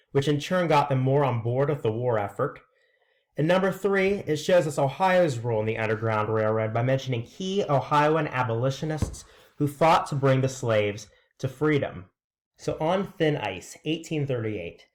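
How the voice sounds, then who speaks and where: A man's voice: mid-range voice, darkish tone. A man reading aloud in a scripted narration style, presenting a written story to listeners. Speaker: reader presenting a read-aloud.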